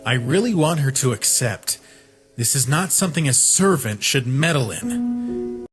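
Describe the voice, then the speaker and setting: Man acting a proud young goat prince, unhurried. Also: fairly high pitch, big pitch swings. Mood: haughty, defiant. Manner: haughty, earnest, commanding. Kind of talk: fan-dub comic voice acting. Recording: voice over background music.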